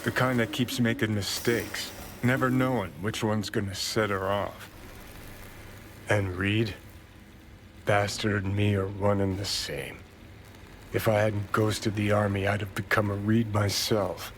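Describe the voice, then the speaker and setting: Jaded rebel ex-soldier, lowish voice, blunt, profane, sardonic, video game drama, faint noise behind. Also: male voice, level tone, a little slow, a bit bright. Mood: brooding, cynical. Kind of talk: cinematic video game acting.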